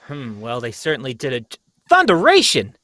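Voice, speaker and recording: Guy doing a flustered radio cop boss, sharp bright high voice, stammery and worked up, super dry sound. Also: huge pitch swings, moderate pace, no echo. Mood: shocked. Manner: stammering, exclamatory, urgent. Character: agitated, blustering police inspector, easily flustered. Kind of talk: old-time radio mystery acting.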